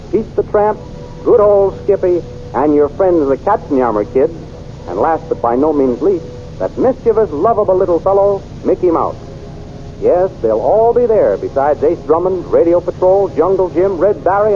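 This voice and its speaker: A man narrating a 1930s radio serial as announcer, dramatic and booming. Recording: dull 1930s radio transcription with music.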